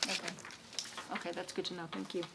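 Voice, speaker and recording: A woman chairing a town commission meeting, moving briskly through agenda items. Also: on the low side, flat delivery, creaky, background noise. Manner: brisk, procedural.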